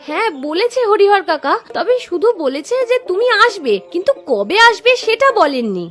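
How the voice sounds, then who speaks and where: A woman's voice: very high-pitched, big pitch swings, moderate pace, a bit bright, faint music behind, exaggerated voice. A woman voicing a hospitable, slightly anxious village housewife in a cartoon. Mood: surprised, welcoming. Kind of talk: Bengali cartoon voice acting.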